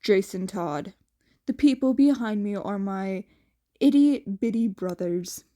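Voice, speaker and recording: Woman doing a low, sardonic teenage boy. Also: lively intonation, takes it slowly, mellow. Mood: dry. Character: sardonic teenage boy, weary teasing older brother.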